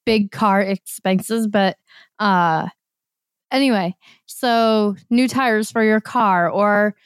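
A woman co-hosting a casual podcast, her voice slightly hoarse, explaining things earnestly. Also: medium pitch, slow pace, no room sound, clean studio sound. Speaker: podcast co-host.

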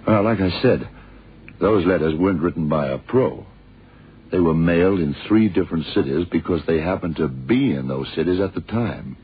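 A man playing a shrewd, world-weary detective in a radio drama, deliberate and slangy as he thinks aloud. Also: low voice, lively intonation, a little hiss or hum. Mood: insistent, pondering. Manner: deliberate, musing, terse and slangy. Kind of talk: 1960s-70s radio drama acting. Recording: muffled, tinny old radio recording.

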